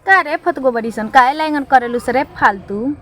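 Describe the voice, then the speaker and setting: A woman's voice: sits high, normal speed, a bit bright. A young woman with a Bihari, Bhojpuri-tinged accent, speaking emphatically as an interview guest and repeating phrases for effect. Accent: Bihari, Bhojpuri-influenced. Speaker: interview guest, comic poet and social media creator. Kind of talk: informal interview with recited satirical verse.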